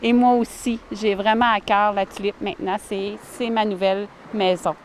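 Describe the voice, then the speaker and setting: A woman, answering interview questions warmly and earnestly outdoors by a lake, with many fillers and restarts. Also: mid-range voice, level tone, normal speed, a little hiss or hum. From Ottawa, Canada. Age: 40s. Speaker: village mayor, interviewee.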